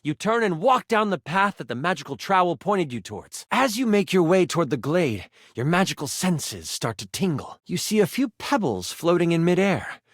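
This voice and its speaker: Animated, high-pitched male game master narrator. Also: normal speed, hardly any pauses, no echo, studio quality. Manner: storytelling, theatrical. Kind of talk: dramatic second-person fantasy narration in a video game.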